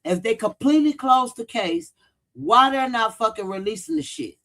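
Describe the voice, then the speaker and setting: A man's voice: very high-pitched, lively intonation, moderate pace, bright, forceful tone. A man giving casual, blunt livestream commentary, swearing freely and repeating points for emphasis. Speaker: true-crime commentary livestream host.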